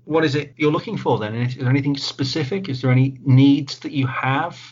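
A man hosting a podcast, chatting in a relaxed, informal way over a video call. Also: medium pitch, fairly quick, breathy edge. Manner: relaxed, informal, British slang. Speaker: podcast host. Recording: dull, lo-fi call audio.

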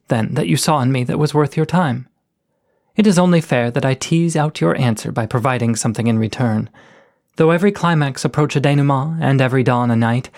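A man voicing a playful, flirtatious poet coyote of low station, reading florid love letters in a lyrical, teasing way. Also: creaky, a little mellow. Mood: coy, flattered.

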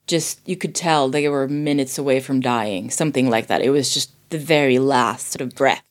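A woman's voice: rumbling low, moderate pace. A woman in her thirties or forties talking casually about a film, earnest and reflective. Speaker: podcast guest discussing film.

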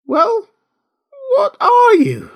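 A performer voicing a frantic, indignant mother pigeon in a storybook, shrill, screeching and scolding. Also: big pitch swings, normal speed. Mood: scornful, sulky. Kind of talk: storybook character voice.

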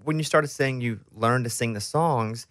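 A man's voice: mid-range voice, normal speed, fairly bright tone, no echo, studio quality. A man, hosting a casual interview in a chatty, informal way. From Arkansas, USA. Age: 30s to 40s. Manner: chatty, informal, talks at length before questions. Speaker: interview host.

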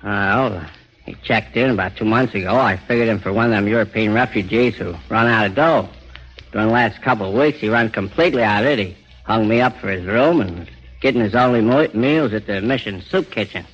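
An actor playing an uneasy American flophouse innkeeper with hesitant, slangy speech in a 1940s radio crime drama. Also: male voice, fairly low pitch, brisk, a bit bright, slightly rough. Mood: slangy, matter-of-fact. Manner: hesitant, folksy tough slang. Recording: muffled 1940s radio recording.

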